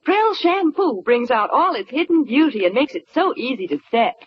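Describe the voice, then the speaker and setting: A woman's voice: high-pitched, very animated, normal speed, slight background noise. A woman playing a delighted shampoo customer in a vintage radio commercial. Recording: dull old radio recording.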